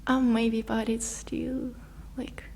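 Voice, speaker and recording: A young woman from Russia, a guest in a casual interview, talking haltingly as she searches for words in English. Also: on the high side, little pitch movement, vocal fry, darkish tone, a little hiss or hum.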